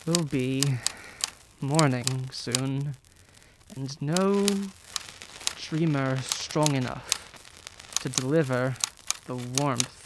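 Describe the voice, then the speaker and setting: A woman playing a desperate, obsessive dying writer in an audio drama, halting and fraught as she freezes. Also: very deep voice, heavily acted. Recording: clearly noisy background.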